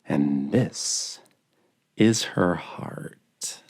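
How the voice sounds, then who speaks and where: A man voicing a sinister husband in a horror story, solemnly intoning in a drawn-out, menacing way. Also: extremely low pitch, animated, a little mellow, full character voice. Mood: solemn, eerie.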